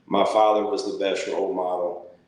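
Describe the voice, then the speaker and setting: Echoey, lowish-voiced man. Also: level tone, normal speed, darkish tone, a little hiss or hum. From rural Louisiana, United States. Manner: folksy, plainspoken. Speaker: interview guest, barber. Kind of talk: casual reminiscing conversation. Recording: very echoey, reverberant room sound.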